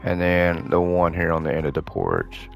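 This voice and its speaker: A man giving casual gameplay commentary, thinking aloud to himself as he plays, his voice slightly hoarse. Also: low voice, normal speed, soft background music. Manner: relaxed thinking aloud, muttering to himself while playing. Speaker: gaming host playing a renovation game.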